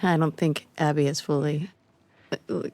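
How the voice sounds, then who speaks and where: Casting: female commentary guest, lowish voice with vocal fry, playful informal chat. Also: steady tone, moderate pace, darkish tone, no room sound.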